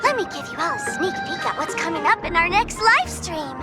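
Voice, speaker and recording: A woman's voice: very high voice, sing-song, brisk, loud music behind the voice. A woman voicing a bubbly, showy young livestream idol host in a game, with theatrical, sing-song delivery. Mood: showy, cheerful. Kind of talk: game voice acting, livestream presenter patter.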